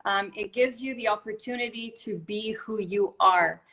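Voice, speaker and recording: A woman who grew up partly in Serbia answers interview questions as a guest over a remote call. She speaks fluently and in an upbeat, earnest way at a middle pitch. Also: normal speed, bright, forceful tone. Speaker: English teacher, guest.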